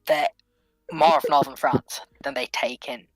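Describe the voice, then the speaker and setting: A woman's voice: extremely low pitch, fairly even intonation, unhurried, a crowd in the background, low-quality recording. A woman chatting casually and debating history as a co-panelist in a discussion.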